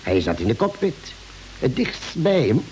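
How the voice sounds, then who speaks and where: Actor as caring ship's doctor, lively intonation. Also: male voice, mid-range voice, moderate pace, natural voice, poor audio. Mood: explaining. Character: calm, caring male spaceship doctor, gently firm. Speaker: radio drama actor. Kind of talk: vintage Dutch radio play acting. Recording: hissy old radio drama recording.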